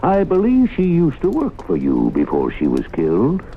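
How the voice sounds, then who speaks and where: An actor playing a stubborn, sensible small-town mayor who is firm and dryly sarcastic in an old radio drama. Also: male voice, fairly high pitch, wildly swooping pitch, moderate pace. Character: stubborn, reasonable small-town mayor standing his ground. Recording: muffled, noisy old radio broadcast recording.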